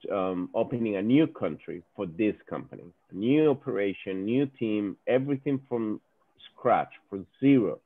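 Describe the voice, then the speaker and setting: A man's voice: fairly low pitch, no room sound. A man from Venezuela, a native Spanish speaker talking in accented English on a video call, earnest and often repeating words as he searches for them. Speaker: guest speaker, company executive. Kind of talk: informal talk on a video call. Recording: dry, phone-like video call audio.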